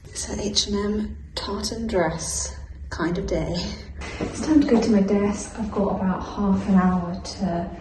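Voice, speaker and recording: A woman's voice: mid-range voice, fairly even intonation, a little slow, darkish tone, a little hiss or hum, rough recording. A woman in her twenties with an English accent, chatting informally and self-deprecatingly in a casual day-in-the-life vlog. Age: 20s. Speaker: vlogger, student actuary. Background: music.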